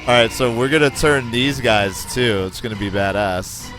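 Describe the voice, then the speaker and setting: Streamer: American man, mid-pitched, excitable, swears casually while calling gameplay. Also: moderate pace, music in the background, rough recording. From United States. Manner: excitable, profane, talks to chat.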